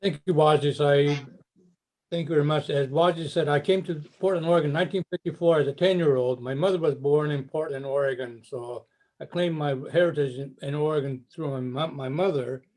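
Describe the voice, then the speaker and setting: Older man, mellow voice. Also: a little high, brisk, low-quality recording. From Japan. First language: Japanese. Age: 70s. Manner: modest, self-deprecating, with frequent restarts. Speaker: foundation chairman. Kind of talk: personal introduction speech.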